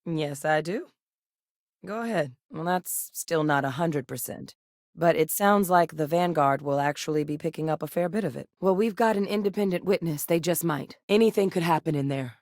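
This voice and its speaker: An actress voicing a composed adult woman, a scientist and soldier in a game, speaking in a measured, earnest, matter-of-fact way. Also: sits low, darkish tone, no room sound, studio quality. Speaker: video game character. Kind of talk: game voice lines. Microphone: close studio mic.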